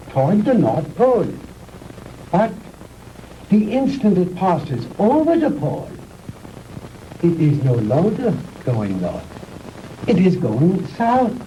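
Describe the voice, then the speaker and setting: An actor playing a grandiose older Nazi scientist in a 1960s B-movie, lecturing pompously and deliberately. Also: male voice, high voice, dark tone, heavily acted, low-quality recording. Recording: hissy old 1960s film soundtrack.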